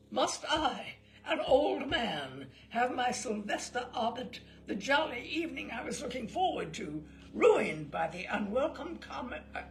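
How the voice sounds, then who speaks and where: A woman's voice: high voice, a little hiss or hum, heavily acted. Woman acting a hearty, theatrical old sea captain. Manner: emphatic, declamatory, cajoling. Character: bluff, hearty old fat ship's captain, pleading theatrically.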